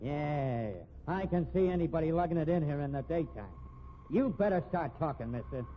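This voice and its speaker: A man acting as a brash, needling detective with a fairly high voice grills suspects in streetwise slang. It is 1950s radio mystery acting, heard through a narrow, tinny old recording with some hiss. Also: lively intonation, moderate pace, lightly acted. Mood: hard, threatening.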